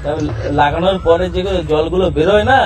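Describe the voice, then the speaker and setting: A man's voice: high voice, fast, a little mellow, soft background music. A man making a prank phone call, teasing and suggestive, chuckling as he plays on double meanings. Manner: playful, suggestive, chuckling through his lines. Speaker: prank caller.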